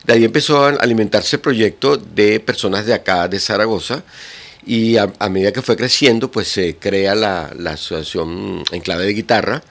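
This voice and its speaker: A middle-aged man with a Venezuelan accent, a guitar teacher speaking as a guest in an interview, pausing often with fillers and restarts. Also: on the low side, fairly bright tone. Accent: Venezuelan Spanish. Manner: hesitant, many fillers and restarts. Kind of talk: radio interview.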